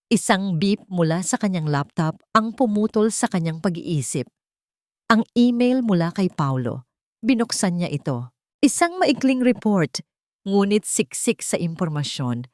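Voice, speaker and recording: A woman's voice: on the low side, darkish tone, no echo, clean studio sound. A woman narrating a scripted romantic drama as a storyteller.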